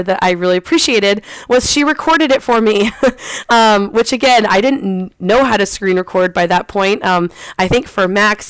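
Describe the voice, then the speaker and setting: A woman's voice: mid-range voice, smooth, no room sound. A young woman, an online English teacher, chatting casually in a vlog, full of ums and restarts and light laughs. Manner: laughs lightly between phrases.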